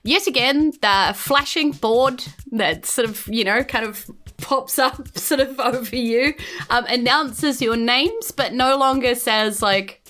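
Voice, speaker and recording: Kiwi woman running a tabletop game, bright voice, casual rambly narration, quiet music behind. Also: a little high, slow. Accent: New Zealand. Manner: informal, loosely descriptive, hedging. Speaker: game master.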